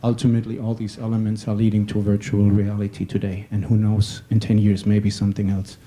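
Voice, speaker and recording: A man introducing himself as a panelist at a conference. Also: brisk. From Germany. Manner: steady, businesslike pitch. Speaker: panelist, startup executive.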